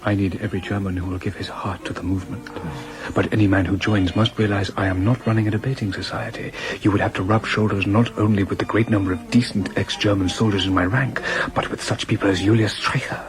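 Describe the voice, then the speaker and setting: An actor playing a fervent, intense German political demagogue in a radio drama, speaking formally with deliberate emphasis. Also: male voice, fairly low pitch, steady tone, music in the background, natural voice. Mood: fervent, hard.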